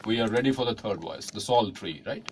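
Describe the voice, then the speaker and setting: A man with an Indian accent coaching in a studio, giving casual, halting, repetitive direction. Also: lowish voice, animated, slight background noise. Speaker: voice-over coach. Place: recording studio.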